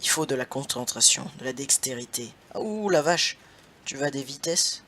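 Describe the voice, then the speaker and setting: A man's voice: medium pitch, animated, normal speed, sharp tone, slight background noise. A young man hosting a casual gaming session, laughing often and swearing playfully at his partner. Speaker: gaming channel host.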